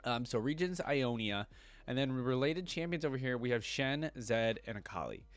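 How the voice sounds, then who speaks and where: A man's voice: mid-range voice, animated, moderate pace, no echo, faint music behind. A man hosting a reaction video, commenting casually and reading text aloud as he goes. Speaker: host of a reaction channel.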